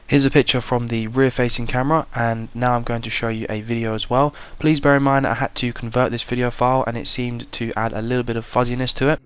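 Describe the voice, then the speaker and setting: A man narrating a tech review in a plain, measured, explanatory way. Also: mid-range voice, little pitch movement, clean tone. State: slight cold. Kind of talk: voice-over product review.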